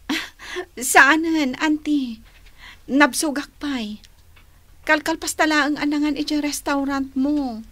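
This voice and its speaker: A woman's voice: sits high, fairly even intonation, takes it slowly, pushed and bright, full character voice. A woman in an Ilocano radio drama playing a young, well-bred niece, inquisitive and incredulous, full of questions and exclamations. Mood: admiring, curious.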